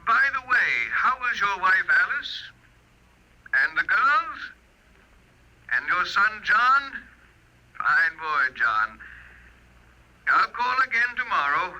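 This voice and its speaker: Casting: oblivious genial caller in vintage radio drama, piercingly bright, unhurried, telephone-filtered. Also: male voice, high-pitched, sing-song, exaggerated voice. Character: eerie caller chatting on, oblivious, about surgery. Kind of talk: 1950s radio drama acting. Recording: tinny telephone-filtered old radio recording.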